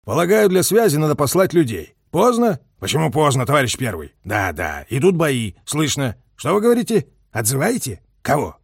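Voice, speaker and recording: A man's voice: a little high, huge pitch swings, moderate pace, gravelly, few pauses, slightly rough, no echo, clean studio sound, heavily acted. A man voicing a harsh, impatient Soviet colonel in battle, barking clipped commands in a war audiobook. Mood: urgent, tense. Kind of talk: audiobook character voice, war novel.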